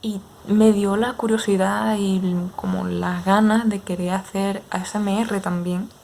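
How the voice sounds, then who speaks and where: Unhurried Andalusian woman chatting. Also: mid-range voice, level tone, creaky, darkish tone, slight background noise. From Andalusia, Spain. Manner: unhurried, hesitant with frequent fillers. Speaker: ASMR creator. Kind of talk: casual Q&A chat with viewers.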